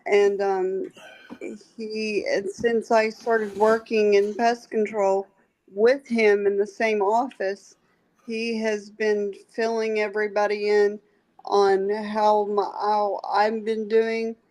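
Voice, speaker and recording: Woman podcast guest; very slow, halting delivery. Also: mid-range voice, little pitch movement, a little mellow, rough recording. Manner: slow, halting, with many restarts and fillers. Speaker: guest, pest control safety director. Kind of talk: casual podcast chat.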